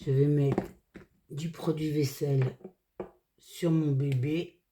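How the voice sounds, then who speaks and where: A woman hoarse from a cold, chatting casually through a hands-on craft tutorial in short, unhurried phrases. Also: low-pitched, steady tone, background noise. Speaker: craft video creator.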